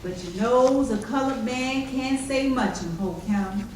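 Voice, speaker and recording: Female stage storyteller, high register, measured and emphatic, far from the mic in heavy echo. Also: a little mellow. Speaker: storyteller in readers theater. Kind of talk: dramatic folk-tale narration. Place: large echoey chapel during a live performance. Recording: very echoey hall, distant mic, faint noise.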